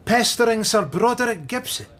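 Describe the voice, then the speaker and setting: An actor playing an irritable senior police officer in a radio drama, with a Scottish accent and a gruff, scolding manner. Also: male voice, extremely high pitch, expressive, moderate pace, fairly bright tone. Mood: angry. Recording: faint background noise.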